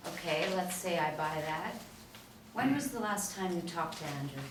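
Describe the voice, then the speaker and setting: A woman's voice: fairly low pitch, lively intonation, normal speed, natural voice. A woman reading the part of a composed, skeptical FBI agent questioning a suspect, at a TV pilot table read. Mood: probing, dry. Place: small meeting room, seated in a row. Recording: echoey room, distant mic, faint noise.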